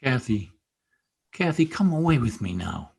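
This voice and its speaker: An actor playing a proud, brooding young male outcast in an old-time radio drama, intense and sullen with a husky voice. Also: medium pitch, big pitch swings, unhurried, a little mellow, heavily acted. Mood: bitter passion.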